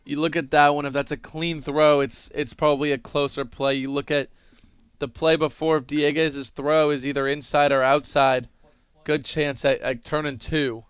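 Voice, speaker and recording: A man's voice: a little high, level tone, moderate pace, fairly bright tone, smooth, no room sound. A man analyzing plays as a commentator on a live baseball broadcast.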